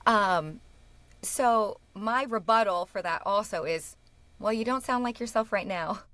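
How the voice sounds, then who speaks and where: A woman's voice: medium pitch, fairly bright tone. Eager, laughing woman talking shop. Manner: enthusiastic, quick to laugh, restarts mid-sentence. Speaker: guest, speech-language pathologist. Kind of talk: casual interview chat.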